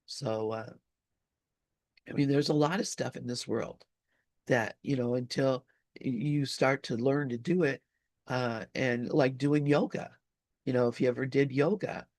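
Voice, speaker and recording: A man speaks slowly in a medium-pitched voice with a gravelly creak, as if teaching a small study group. His delivery is conversational and halting, with pauses to search for the next word. The sound is close and dry. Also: fairly even intonation, a little mellow, no room sound. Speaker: spiritual study group leader. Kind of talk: informal teaching talk.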